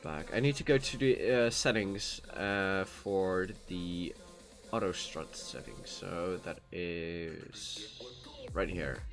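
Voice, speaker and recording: A man's voice: sits low, slow pace. A Dutch man with a Dutch accent, chatting casually while live-streaming a game, rambling with many fillers and restarts into a cheap USB mic. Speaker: gaming streamer. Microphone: cheap USB microphone. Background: soft background music.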